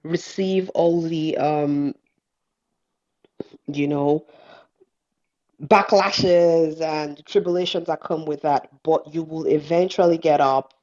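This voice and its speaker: A woman's voice: sits low, darkish tone. A woman sharing encouraging thoughts in a conversational group discussion. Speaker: panelist. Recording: muffled lo-fi audio.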